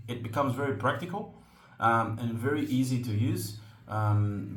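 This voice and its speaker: A man's voice: mid-range voice, fairly bright tone. Brazilian man explaining in an echoey room. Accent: Brazilian Portuguese. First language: Portuguese. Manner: halting, many ums and restarts. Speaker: slingshot channel host. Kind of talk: casual tutorial explanation.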